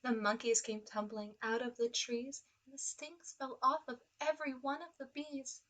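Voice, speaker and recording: A woman reading a children's story aloud with expressive narration. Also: high-pitched, normal speed. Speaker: children's storybook reader, teacher.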